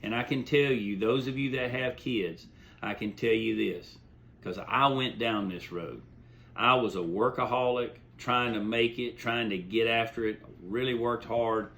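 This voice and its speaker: A man's voice: fairly low pitch, a bit bright. A middle-aged man giving an informal, folksy talk, telling stories and offering plainspoken advice, repeating phrases for emphasis. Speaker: host.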